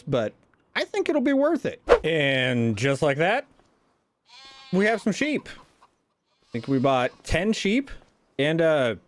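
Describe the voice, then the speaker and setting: A man with a stuffy nose, talking through his moves in casual, rambling gameplay commentary and often correcting himself. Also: mid-range voice. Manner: rambling, self-correcting, chatty. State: stuffy from a sinus infection. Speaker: gaming channel host.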